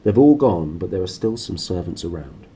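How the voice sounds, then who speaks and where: A man's voice: low voice, expressive, normal speed, dark tone, slight background noise. A man voicing a curt, secretive lord and uncle in a storybook, giving terse orders. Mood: urgent.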